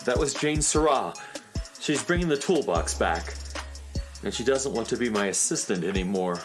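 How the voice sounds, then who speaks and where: A woman's voice: a bass voice, animated, moderate pace. A performer voicing a fussy, well-meaning space handyman in a children's radio drama, talking earnestly. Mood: flustered. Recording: music playing behind.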